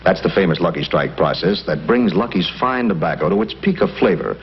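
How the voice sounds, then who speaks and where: A man's voice: deep voice, lively intonation, brisk, gravelly. An American man reading a scripted 1950s television commercial as an announcer. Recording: muffled 1950s TV broadcast, faint noise.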